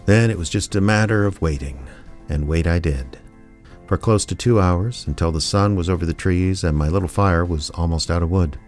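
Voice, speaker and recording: An actor playing a laconic small-town private investigator, narrating his case in a deadpan, understated radio drama style. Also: low voice, moderate pace, faint music behind, lightly acted. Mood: wry, measured. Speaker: narrator and lead character.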